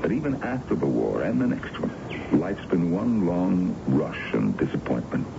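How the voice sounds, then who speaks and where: A man's voice: deep voice, very animated, normal speed, creaky, full character voice. An actor playing an ardent, world-weary older man wooing his love in a theatrical radio drama style. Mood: earnest, loving. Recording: muffled, noisy old radio broadcast.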